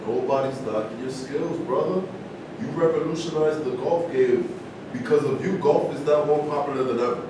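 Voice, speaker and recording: A man's voice: medium pitch, moderate pace, close to an everyday voice. A man as a proud fallen champion, very echoey. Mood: matter-of-fact. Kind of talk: staged play reading. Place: large indoor room at a live staged reading, distant mic. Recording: very echoey, noisy room from far off.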